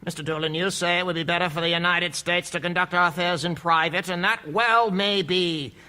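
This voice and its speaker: An actor playing a skeptical judge who questions a witness in a courtroom radio drama. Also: male voice, sits high, steady tone, sharp tone, smooth. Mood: probing. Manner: measured, questioning. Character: probing, skeptical male judge.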